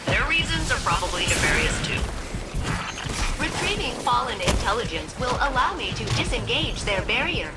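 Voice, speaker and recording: Quirky female ship AI, deadpan asides, lilting animated voice, heavy game soundtrack. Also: a little high, normal speed. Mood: matter-of-fact. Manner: deadpan precision mixed with casual asides. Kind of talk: video game dialogue. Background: game score music.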